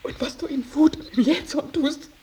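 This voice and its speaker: A woman's voice: sits high, moderate pace, mellow, lightly acted. Actress as plaintive, anxious mother. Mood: frightened, urgent. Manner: plaintive, pleading, scolding. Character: anxious, reproachful mother of a wayward adult son. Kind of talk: German radio drama acting.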